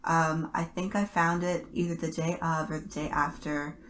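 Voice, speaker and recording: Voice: medium pitch, little pitch movement, normal speed, a bit bright. A podcast host chatting casually, with a faint noise in the recording. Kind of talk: casual chat.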